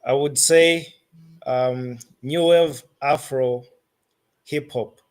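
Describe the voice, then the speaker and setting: Slow-paced Zambian-accented man. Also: medium pitch, fairly even intonation, a little mellow, clean tone. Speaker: interview guest, musician. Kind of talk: conversational interview answers.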